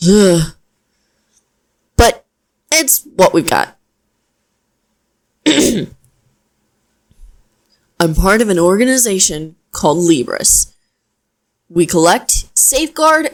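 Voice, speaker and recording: A woman playing a chatty, flustered young wizard in an audio roleplay, speaking straight to the listener in a hesitant, expressive, conversational way. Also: on the low side. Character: flustered young female wizard, chatty, earnest, self-deprecating.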